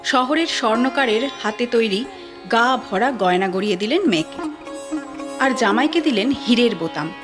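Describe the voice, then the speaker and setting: A woman tells a ghost story with wry, lively scripted narration. Her voice sits a little high. Sitar music plays clearly beneath her. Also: moderate pace. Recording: sitar music bed behind.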